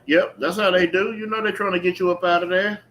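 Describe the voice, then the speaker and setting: A man's voice: sits high, steady tone, quick pace, fairly bright tone. Emphatic male preacher over noisy background. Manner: emphatic, repeats phrases and checks in with listeners. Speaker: preacher leading a scripture teaching. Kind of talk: street-preaching style sermon with call-and-response reading. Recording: clearly noisy recording with ambient background sound.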